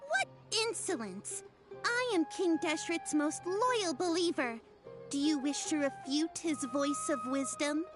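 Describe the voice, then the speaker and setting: A woman's voice: sits high, animated, unhurried. A woman playing a haughty, theatrical female fortune-teller who claims to speak for a god in a video game. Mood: haughty, indignant. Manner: theatrical, imperious, hesitant with ums. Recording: music behind the voice.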